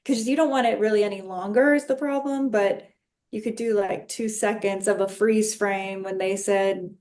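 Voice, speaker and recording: A woman's voice: medium pitch, fairly even intonation, normal speed, darkish tone. A woman offering suggestions on a script in a casual group discussion. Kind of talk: discussion, giving script feedback.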